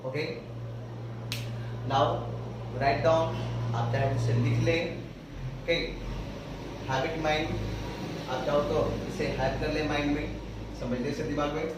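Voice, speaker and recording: Male teacher with a Hindi accent, slightly high voice, recorded from far off in an echoey room. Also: little pitch movement, background noise. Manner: explains slowly, repeats phrases word by word. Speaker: spoken English teacher. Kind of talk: classroom grammar lesson.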